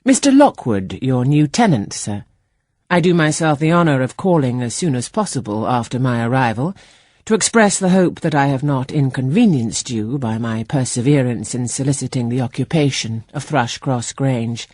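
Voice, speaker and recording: Woman playing polite wordy gentleman, eager n pleased w himself, florid, husky, audiobook, dry. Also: extremely low pitch, animated, moderate pace, no room sound. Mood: eager, courteous. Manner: formal, courteous, florid.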